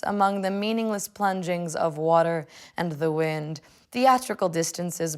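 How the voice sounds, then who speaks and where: Young American woman, slightly low voice with marked vocal fry, measured delivery for reflection and poetry. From United States, Illinois. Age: 20s. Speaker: law student reading a poem. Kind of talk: personal reflection and poetry reading. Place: indoors, furnished living room.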